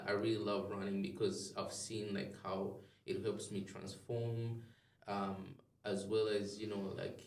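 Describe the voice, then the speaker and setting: Male guest from Zambia, low gravelly voice, rambling casual delivery, recorded off-mic. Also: distant mic. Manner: rambling, many ums and restarts. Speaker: podcast guest. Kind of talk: casual interview chat. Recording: echoey room, off-mic.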